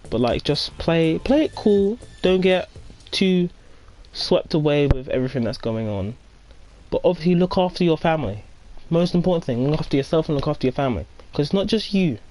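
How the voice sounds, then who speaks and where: A man's voice: on the high side, darkish tone. Chatty man rambling over gameplay. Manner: reads game dialogue aloud. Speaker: let's play host. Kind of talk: casual gameplay commentary.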